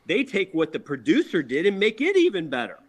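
A man's voice: high voice, huge pitch swings, fairly quick. A middle-aged man from a rock band chatting casually in an interview, enthusiastic and slangy, often repeating his words.

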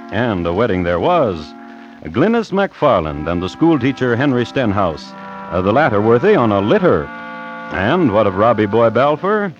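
An actor playing a wry, formal narrator of an old tale in a radio drama, with a measured, mock-solemn delivery. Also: male voice, mid-range voice, lightly acted. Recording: muffled old radio recording, music behind.

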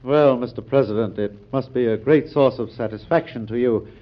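A man playing a gracious, formal American senator in a vintage radio play. He speaks in a mid-pitched, measured voice. The recording is dull and muffled. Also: loud music behind the voice, close to an everyday voice. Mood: respectful, conceding. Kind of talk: 1940s radio acting.

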